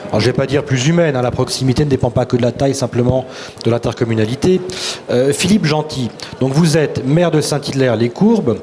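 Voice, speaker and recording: A man's voice: medium pitch, fairly quick, dark tone, a little hiss or hum. A man moderating a panel discussion, summing up and introducing guests in a hedging way with fillers.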